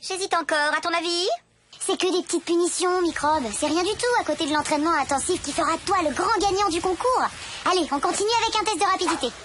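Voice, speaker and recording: A woman's voice: very high-pitched, fast, background noise. A woman playing a scheming, cocky big sister of school age in a French-dubbed cartoon, with a bossy, theatrical delivery. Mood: persuasive, commanding.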